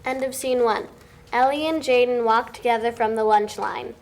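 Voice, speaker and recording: A woman's voice: a little high, fairly even intonation, moderate pace. A young woman reading stage directions as narrator at a script table read. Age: young adult. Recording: faint background noise.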